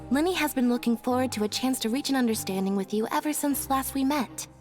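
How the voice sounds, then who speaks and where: A woman voicing a calm, reserved young magician's assistant in a video game, with an understated, matter-of-fact manner. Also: sits high, quick pace, natural voice. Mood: calm, gently teasing. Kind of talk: video game character acting. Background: game music.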